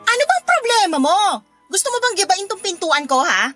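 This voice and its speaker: A woman's voice: very high-pitched, big pitch swings, a little fast, sharp tone, faint music behind, exaggerated voice. An actress with a Filipino accent playing a sarcastic, spiteful, unrepentant neighbour woman in a radio drama. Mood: irritated, taunting. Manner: mocking, flippant, dismissive.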